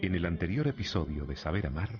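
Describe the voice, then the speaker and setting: A man's voice: deep voice, sing-song, quick pace. A man presenting a radio drama series in a scripted announcer style. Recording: dull archival radio recording, clear music.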